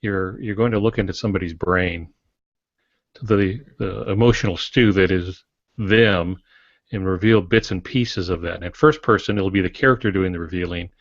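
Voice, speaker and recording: A man's voice: deep voice, moderate pace, no echo. A man co-hosting a podcast, discussing fiction writing in a casual, reflective, folksy way. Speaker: podcast co-host and novelist. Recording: dull lo-fi podcast audio.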